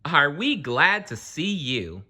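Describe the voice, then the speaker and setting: A storybook reader voicing excitable, mischievous schoolchildren with eager exclamations. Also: medium pitch, slow pace, pitched above the performer's own voice, heavily acted. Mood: relieved, eager.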